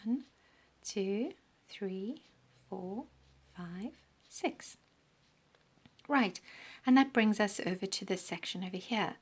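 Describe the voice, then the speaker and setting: A woman teaching a crochet pattern step by step, counting stitches aloud, her voice croaky and often dropping into a breathy half-whisper. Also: medium pitch, expressive, slow. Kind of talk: step-by-step craft tutorial.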